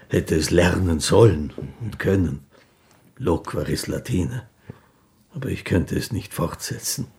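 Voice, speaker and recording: An actor playing a gentle, humble old street musician in a radio play, halting, polite and wistful. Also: male voice, sits low, gravelly, full character voice. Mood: shyly friendly.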